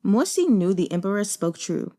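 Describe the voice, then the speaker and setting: A woman narrating a novel as an audiobook, in a measured, dramatic storytelling manner. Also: mid-range voice, very animated, warm tone. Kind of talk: expressive scripted narration of a novel.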